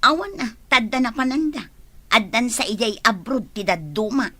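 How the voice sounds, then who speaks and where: Spiteful, wildly swooping villainess. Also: female voice, fairly high pitch, animated, normal speed, a bit bright, no echo. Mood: scornful, scolding. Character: spiteful scolding woman villain, mean and scheming. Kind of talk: Ilocano radio drama acting.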